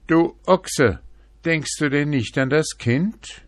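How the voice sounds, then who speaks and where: A man's voice: on the high side, takes it slowly, no room sound, studio quality, pitched above the performer's own voice. A man voicing a stern donkey who scolds the ox in a storybook. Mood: scolding. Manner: scolding, indignant.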